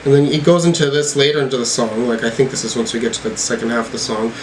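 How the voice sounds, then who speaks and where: A man talks casually through a music review, thinking aloud as he interprets lyrics. His delivery is hesitant and tentative, at a middling pitch. A faint steady hum sits behind his voice. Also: fast talker, rough recording. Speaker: music reaction video host.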